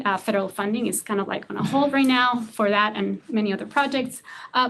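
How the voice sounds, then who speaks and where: A woman city transportation planner presenting to a public commission in a soft, low-key way, with many fillers. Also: a little hiss or hum, rough recording. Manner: soft low-key, frequent fillers, says she has a low voice. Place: city commission meeting room.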